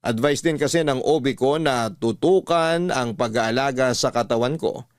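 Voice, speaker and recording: A man's voice: medium pitch, normal speed, dry recording, clean studio sound. Male radio narrator reading a letter.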